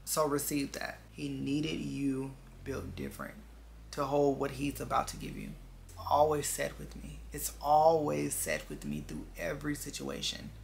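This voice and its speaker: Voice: medium pitch, very animated, unhurried, gravelly, a little hiss or hum. A vlogger in their twenties chatting casually and reflectively to viewers in an earnest, confiding way, repeating phrases for emphasis. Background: background music.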